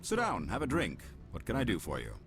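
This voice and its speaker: An actor voicing a suave elderly male alien businessman in a video game, gravelly, courteous and sly in a leisurely manner. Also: fairly low pitch, huge pitch swings, brisk, a bit bright, a little hiss or hum, exaggerated voice. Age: older.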